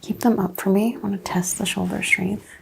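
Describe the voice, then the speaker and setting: Hushed woman in a soft ASMR clinic roleplay. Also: medium pitch, fairly even intonation, brisk, creaky, poor audio. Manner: hushed, gentle, often drifting into whisper. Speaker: ASMR host playing a chiropractor. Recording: faint background noise.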